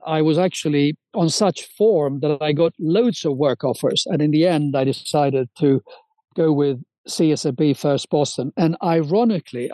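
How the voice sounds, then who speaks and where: A man in his sixties who grew up in Sweden, recalling his career as an interview guest. His voice sits fairly high for a man and the sound is close and dry. He talks fluently and eagerly, with a few small restarts. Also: dark tone, no echo, poor audio. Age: 60s. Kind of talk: interview storytelling.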